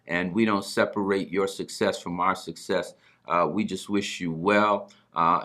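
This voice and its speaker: A man's voice: low voice, sharp tone. A middle-aged man hosting a talk show, speaking conversationally and earnestly, often repeating words as he goes. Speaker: broadcast host.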